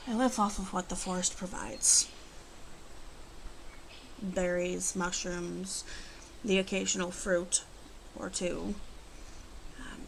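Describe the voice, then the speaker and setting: A woman playing a proud, wry female werewolf of the forest in an ASMR roleplay, teasing and faintly disdainful, speaking deliberately to the listener. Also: lowish voice, gravelly, slight background noise, low-quality recording. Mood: calm, instructive.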